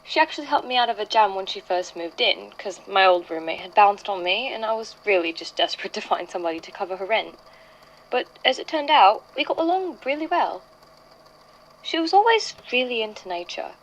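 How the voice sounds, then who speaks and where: A woman acting an anxious young woman giving a halting, confiding first-person statement in an audio drama. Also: mid-range voice, animated, lightly acted, poor audio. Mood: reflective. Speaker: voice actor in a fan fiction audio drama.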